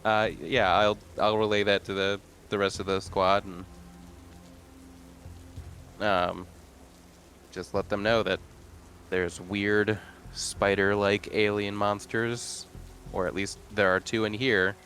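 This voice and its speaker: Deep-ish guy playing an rpg, casual and wry, kinda hesitant, faint music under him. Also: level tone, takes it slowly, long pauses, fairly bright tone. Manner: hesitant, wry, thinking aloud. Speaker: player in a tabletop game. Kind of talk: casual game chat.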